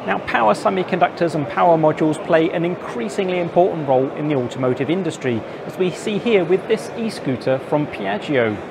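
A man in his forties presenting to camera with a lapel mic in a busy indoor trade show hall, giving clear, informative reports. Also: on the high side, expressive, brisk, hardly any pauses, music in the background. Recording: noisy trade show floor.

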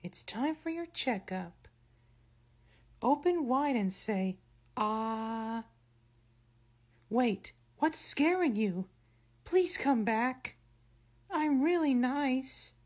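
A woman plays a friendly cartoon mammoth nurse with a high, swooping voice. She is cutesy and eager to please, and takes her time over every line. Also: expressive, extremely slow, long pauses, heavily acted. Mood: worried, pleading. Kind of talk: scripted character voice lines.

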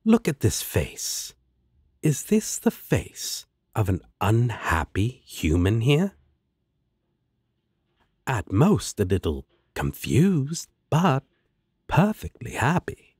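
A man's voice: mid-range voice, huge pitch swings, slow, darkish tone, breathy edge, no room sound, studio quality, exaggerated voice. A man playing a laid-back, sarcastic, protective demon roommate in an audio roleplay, with a drawling manner. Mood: indignant. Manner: drawling, sardonic.

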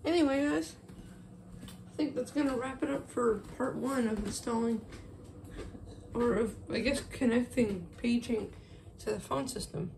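High, very creaky-voiced woman. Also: a little slow, pauses often, darkish tone, slight background noise. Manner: informal, explaining while handling gear. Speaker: video host showing a phone system project. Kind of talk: casual hands-on tech vlog, talking off the cuff. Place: small indoor room with wooden floor. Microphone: handheld phone camera, moving around.

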